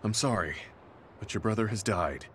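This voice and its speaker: A man's voice: fairly low pitch, expressive, moderate pace, a bit bright, slight background noise. An actor playing a stern, earnest, middle-aged male soldier in a game cutscene, speaking seriously and bluntly. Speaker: game character.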